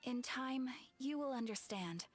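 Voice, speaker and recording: A woman's voice: a little high, expressive, measured pace, background noise. An actress voicing a polite, professional female guild receptionist in a video game, formal and composed.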